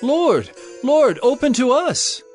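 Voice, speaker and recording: Male reader as foolish maiden in a Bible parable, high, urgent, pleading, music behind. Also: sing-song, takes it slowly, a little mellow, heavily acted. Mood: desperate pleading. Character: foolish young virgins, pleading women shut out. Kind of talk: dramatized audio Bible parable.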